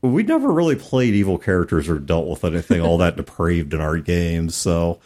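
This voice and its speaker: A man's voice: sits low, sing-song, normal speed, darkish tone. A man hosting a podcast, talking casually and reflectively in a self-deprecating way. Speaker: podcast host. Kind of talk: casual tabletop gaming podcast talk.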